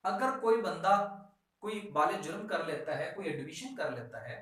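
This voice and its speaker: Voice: medium pitch, expressive, moderate pace, fairly bright tone. A lecturer with a Pakistani accent teaching law, reading out articles and explaining them didactically with repetition and rhetorical questions. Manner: didactic, repeats phrases and asks rhetorical questions. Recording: echoey room, distant microphone.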